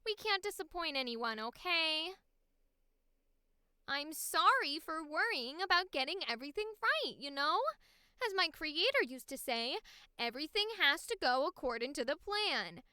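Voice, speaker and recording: Woman voicing a very high, dramatic AI starlet. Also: animated, moderate pace, bright, forceful tone, no echo, studio quality. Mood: anxious, dramatic. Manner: dramatic, perfectionist, pleading then huffy. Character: high-pitched dramatic young female AI actress from late nineties. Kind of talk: animation audition read.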